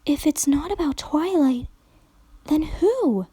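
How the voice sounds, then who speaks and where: A woman voicing a timid, shy female pony who speaks softly. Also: high voice, lively intonation, slow pace, no echo, voice pushed up. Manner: timid, soft-spoken.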